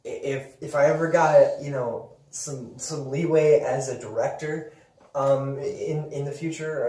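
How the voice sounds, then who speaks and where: In a roomy, echoing space a little away from the mic, a man in his twenties talks at a middling pitch. He co-hosts a casual podcast, halting and rephrasing in an informal way. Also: dark tone. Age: 20s. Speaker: podcast co-host. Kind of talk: casual chat about games and movies. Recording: echoey room, distant from the mic.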